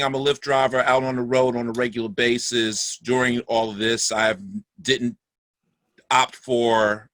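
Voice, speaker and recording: Bright-voiced man explaining himself haltingly. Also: mid-range voice, no room sound. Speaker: actor and rideshare driver. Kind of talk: casual explanatory chat.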